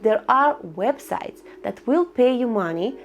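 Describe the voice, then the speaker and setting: Female tutorial host, fairly high voice, instructional and a bit cheeky, soft music bed. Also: lively intonation, a little slow. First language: Russian. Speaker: online income tutorial host. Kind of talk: screen-recorded tutorial voice-over.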